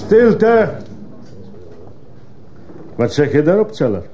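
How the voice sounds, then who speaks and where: An actor playing a stern court judge in a radio drama, formal and authoritative. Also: male voice, on the high side, big pitch swings, slow, a crowd in the background. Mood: commanding, then probing. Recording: muffled old radio play, faint noise.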